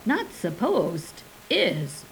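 A woman's voice: on the low side, huge pitch swings, very slow, gravelly, a little hiss or hum, heavily acted, poor audio. A woman doing an old Cajun swamp hermit. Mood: mocking. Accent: Cajun English. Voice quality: broken dialect, clipped grammar. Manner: emphatic, insistent. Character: old male swamp recluse, gruff and superstitious.

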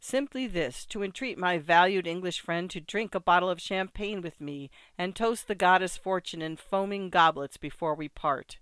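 A reader voicing a boisterous old ex-grenadier, full of exclamations, in a smooth, dry recording. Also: mid-range voice, moderate pace, few pauses, dry recording, close to an everyday voice. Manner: loud, exclaiming, full of oaths and toasts. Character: boisterous old French ex-grenadier, loud and theatrical. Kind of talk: audiobook character voice.

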